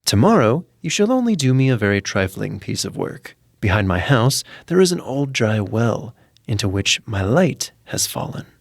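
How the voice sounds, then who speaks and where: A man's voice: medium pitch, very animated, normal speed, vocal fry, no echo, studio quality. A man voicing a sly, scheming old witch in a storybook, with a taunting, bargaining manner.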